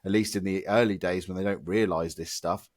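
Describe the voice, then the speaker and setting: A man co-hosting a podcast, hoarse and losing his voice from illness, ranting in an exasperated, emphatic way. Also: sits low, steady tone, a little fast, dry recording, studio quality. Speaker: podcast co-host. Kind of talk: casual podcast chat.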